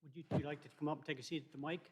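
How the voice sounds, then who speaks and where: A man's voice: on the high side, steady tone, rapid-fire, noisy background. A man chairing a town planning commission meeting in a formal, procedural way. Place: council meeting room.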